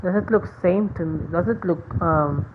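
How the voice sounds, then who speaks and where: A woman streamer reacts casually to a game in short, murmured remarks. Her voice is rather low-key and conversational. The mic sounds narrow like a phone line, with faint noise. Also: normal speed. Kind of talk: casual gameplay commentary.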